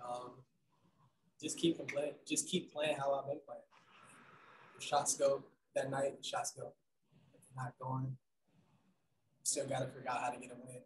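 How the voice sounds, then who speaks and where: A young man answering post-game press questions in a soft, hesitant way with many pauses and ums, over a video call. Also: medium pitch, little pitch movement, slight background noise. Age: young adult. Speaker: basketball player at press conference. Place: room in front of team backdrop. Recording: roomy video call audio.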